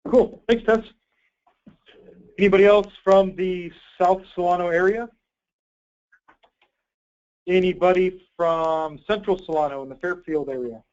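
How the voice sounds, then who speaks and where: Guy running a conference call, highish voice, informal and obliging, kinda muffled lo-fi audio. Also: fairly even intonation, unhurried, long pauses, a little mellow. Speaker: host, grant program coordinator. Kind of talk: conference call presentation with questions and answers. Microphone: conference call line.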